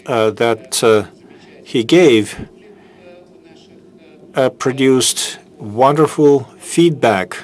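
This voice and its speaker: A man who heads a business school program, giving a formal, halting welcome speech into a microphone in a lecture room, pausing often on fillers. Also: medium pitch. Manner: slow and halting, with many filler pauses. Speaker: business school program head introducing a guest speaker. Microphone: handheld microphone.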